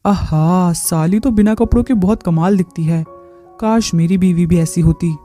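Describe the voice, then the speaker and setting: A woman's voice: fairly low pitch, moderate pace, dark tone, faint music behind, natural voice. Sly lecherous brother-in-law played by a woman. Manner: coaxing, sly. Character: lecherous, smooth-talking married man, a brother-in-law.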